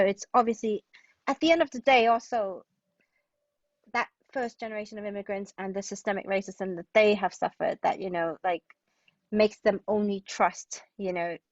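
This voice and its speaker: Woman, dull dry sound, thoughtful and halting. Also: mid-range voice, no echo. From Hong Kong. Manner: searching, halting phrasing with repeated words. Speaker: guest, playwright and historian. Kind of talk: thoughtful podcast interview conversation.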